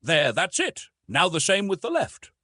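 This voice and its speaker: A man narrating plays an authoritative police official in a storybook. He instructs patiently and formally, with precise phrasing. His tone has a husky grain and lively rises and falls, in a dry recording. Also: sits high, moderate pace, no echo, voice pushed up, heavily acted. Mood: instructive.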